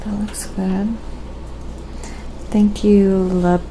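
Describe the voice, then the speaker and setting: A soft-spoken, sometimes whispery woman chatting casually on an ASMR painting livestream, musing aloud in an unhurried way. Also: mid-range voice, flat delivery, a little hiss or hum, low-quality recording.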